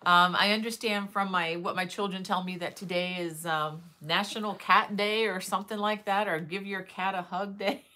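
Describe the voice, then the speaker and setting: A woman's voice: mid-range voice, fairly even intonation, normal speed, hardly any pauses, bright, forceful tone. A middle-aged woman hosting a crochet livestream from her living room, chatting casually and greeting viewers by name, with many fillers. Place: living room with bookshelves, indoors.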